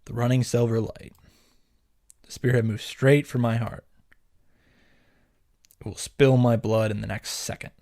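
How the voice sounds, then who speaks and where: A man's voice: mid-range voice, steady tone, measured pace, creaky, pauses often, a little mellow, dry recording, clean studio sound. A man voicing a desperate teenage boy fighter in a dramatic visual novel reading. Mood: grim. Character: desperate teenage boy fighter narrating his near death. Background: background music.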